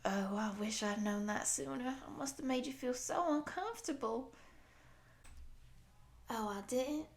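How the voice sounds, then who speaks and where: The big, kindly horned cowgirl you'd meet in an audio roleplay as a woman acts her out, speaking slowly and a little high, soft and affectionate. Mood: gentle, eager. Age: 30s. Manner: soft, apologetic, affectionate, folksy. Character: tall, gentle, friendly cowgirl monster of thirty-six. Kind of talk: intimate roleplay addressed to the listener.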